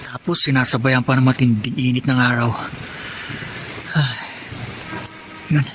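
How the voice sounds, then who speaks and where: A man's voice: medium pitch, steady tone, a little slow, noisy background, lightly acted. A man playing a polite, hardworking young orphan with a Filipino accent in a Tagalog radio drama, earnest and deferential. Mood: weary, searching.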